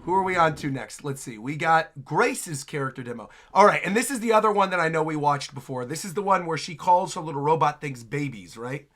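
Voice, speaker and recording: Male reaction host, bright and very lively, chatty, emphatic casual commentary. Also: fairly high pitch, brisk, hardly any pauses.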